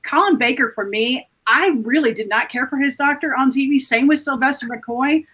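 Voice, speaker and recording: Enthusiastic high-voiced woman in fan chat. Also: moderate pace, fairly bright tone, breathy edge. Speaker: podcast panelist.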